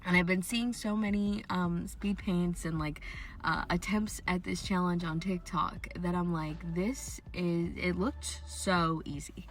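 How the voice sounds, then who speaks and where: Chatty young woman, slightly raspy with a cold. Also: lowish voice, steady tone, measured pace. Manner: chatty, rambling, frequent laughs and fillers. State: coming down with a cold, a bit raspy. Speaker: art channel host. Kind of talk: casual chat over a speed paint.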